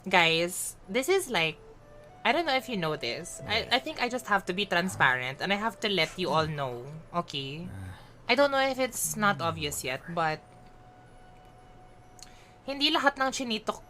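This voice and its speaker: A woman's voice: mid-range voice, animated, moderate pace, bright, forceful tone, faint music behind. A woman with a Filipino accent chatting and ranting casually while livestreaming a game, mixing English and Tagalog. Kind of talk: casual gaming stream chat.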